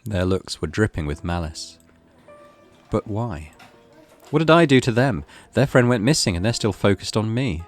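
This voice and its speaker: A man's voice: lowish voice, expressive, normal speed, soft background music. A man giving a theatrical, suspenseful reading of a horror visual novel. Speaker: gaming channel host reading aloud. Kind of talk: dramatic scripted narration of a horror visual novel.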